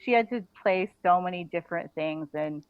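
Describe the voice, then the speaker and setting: A woman's voice: fairly low pitch, smooth, dry recording, phone-quality sound. A woman chatting casually as an interview guest, with informal phrasing that stops and starts and leans often on "like". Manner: informal, halting phrasing with frequent "like". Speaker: guest author being interviewed.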